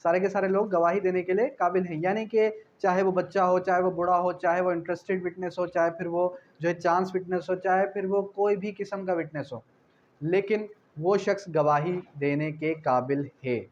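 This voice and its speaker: A man's voice: high-pitched, fairly even intonation, normal speed. A man with a Pakistani accent giving an explanatory law lecture, repeating key phrases and answering his own questions in a didactic way. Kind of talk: explanatory exam-prep lecture, switching between reading legal clauses and explaining. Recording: dull lo-fi audio with faint noise.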